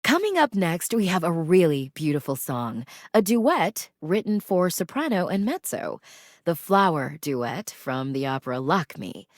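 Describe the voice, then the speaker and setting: A refined female classical radio DJ from a video game. Her voice sits a little low and moves melodically up and down. She announces in a measured, courteous, genteel public radio manner, recorded very dry and close. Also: sing-song, dry recording. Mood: poised, informative. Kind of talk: scripted radio host announcements between pieces.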